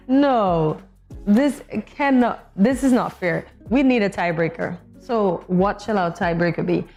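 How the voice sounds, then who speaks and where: A woman's voice: mid-range voice, sing-song, takes it slowly, pauses often, a little mellow, faint music behind. A woman co-hosting a morning show, chatting casually with playful, competitive banter. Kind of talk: casual studio chat.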